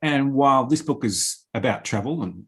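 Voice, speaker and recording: A man hosts an interview in a mid-pitched voice. He asks measured, prepared questions and phrases them carefully, with a formal manner. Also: expressive.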